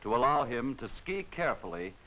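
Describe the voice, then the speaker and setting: An American man giving formal, measured, scripted narration for a 1940s instructional training film, on a vintage film soundtrack. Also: mid-range voice, big pitch swings, sharp tone, slight background noise, rough recording.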